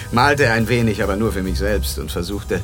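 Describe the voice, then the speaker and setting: A polished, boastful rich gentleman over music. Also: lowish voice, a little slow. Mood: boastful, wistful. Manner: polished, boastful, addresses listener with old-fashioned familiarity. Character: wealthy, self-mythologizing gentleman, courteous and secretive. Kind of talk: radio drama dialogue. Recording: studio radio play with music underneath.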